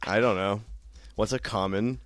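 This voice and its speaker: Low-voiced rambling young man. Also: fairly even intonation, measured pace, dry recording. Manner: rambling, laughs often, swears casually. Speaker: podcast co-host. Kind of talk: casual chat.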